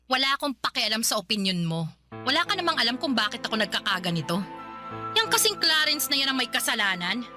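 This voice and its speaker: An actress playing a sharp-tongued, nagging older sister in her late twenties in a Filipino radio drama. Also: a little high, sing-song, brisk, over music. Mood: scolding. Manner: sarcastic, nagging, confrontational.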